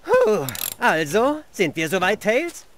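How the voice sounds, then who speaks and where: A man's voice: high voice, sing-song, takes it slowly, background noise, exaggerated voice. A man playing a carefree young hedgehog hero in a video game dub, breezy and teasing. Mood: casual, teasing. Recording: loud music under the voice.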